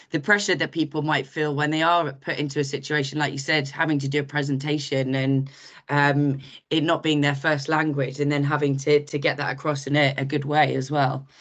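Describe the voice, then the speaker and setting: A woman's voice: low voice, fairly bright tone. A woman hosting a podcast interview, asking long, rambling questions full of ums and restarts. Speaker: podcast host.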